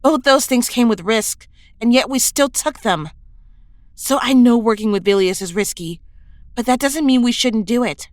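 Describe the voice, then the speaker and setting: A woman's voice: on the high side, moderate pace, dry recording, natural voice. A woman acting an earnest, idealistic young superhero in an audio drama, reflective and sincere. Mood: earnest, pleading.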